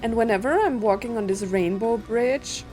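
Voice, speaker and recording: A woman doing casual video game commentary, musing out loud and reading on-screen text as she plays. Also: a little high, moderate pace. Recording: game music behind the voice. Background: video game music.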